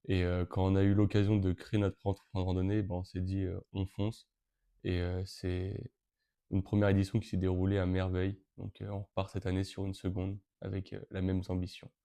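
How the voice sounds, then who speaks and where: Young man from Brittany, late teens, flat low delivery, halting with many restarts. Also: clear, resonant tone. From Brittany, France. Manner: hesitant, frequent fillers and restarts. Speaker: interviewee, event co-organizer. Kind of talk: casual interview answers.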